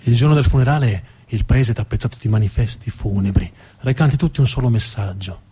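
A man's voice: medium pitch, quick pace, mellow, breathy edge. A man narrating as a stage storyteller, dramatic in delivery and repeating words for emphasis.

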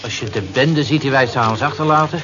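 An actor in an old radio play voicing a considerate, principled office clerk with a reflective, moralising manner. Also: male voice, mid-range voice, brisk, crowd noise, lightly acted. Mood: reproachful. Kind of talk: vintage radio play acting. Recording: dull, noisy old radio play recording.

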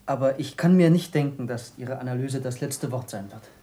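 An actor playing a reserved former pupil of an old scientist in a German radio play, speaking formally and with measured courtesy. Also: male voice, medium pitch, dark tone, lightly acted. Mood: restrained, earnest.

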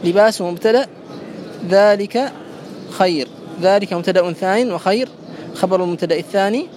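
A man's voice: high voice, fairly quick, a little mellow. A man teaching a classical grammar lesson, methodically repeating and parsing each phrase for his students.

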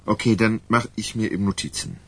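An actor playing a candid, careful male ghostwriter in a German radio crime drama, explaining things in a measured way. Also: on the low side, big pitch swings, creaky, a bit bright, husky, heavily acted. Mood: reasoning.

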